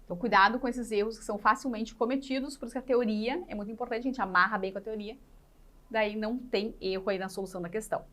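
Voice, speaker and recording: A woman's voice: on the high side, fairly even intonation, quick pace, pushed and bright. A woman teaching an exam-prep class step by step, repeating key points and putting questions to learners. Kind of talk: live lesson explaining exercises step by step.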